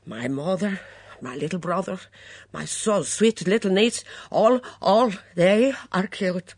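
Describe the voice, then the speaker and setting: A woman voicing an excitable young foreign housemaid who speaks loud, theatrical, broken English. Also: fairly low pitch, unhurried, no echo, studio quality, voice pushed up. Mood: dramatic.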